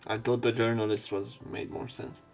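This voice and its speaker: A man reads a visual novel aloud as a let's player, haltingly, slipping in his own casual commentary. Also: mid-range voice, flat delivery, vocal fry. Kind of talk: narration and commentary over a game, reading aloud with asides. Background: visual novel game music.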